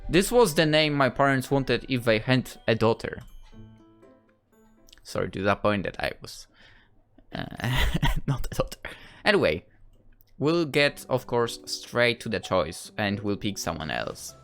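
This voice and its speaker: Playful man commentating a game, lively pitch. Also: a little slow, soft background music. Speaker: gaming video creator playing his visual novel. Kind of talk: casual playthrough commentary, reading dialogue aloud.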